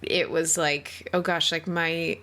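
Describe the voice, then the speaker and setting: A woman hosting a casual, reflective podcast chat, thinking aloud in halting, slangy phrases. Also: low-pitched, little pitch movement, sharp tone. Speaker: podcast host.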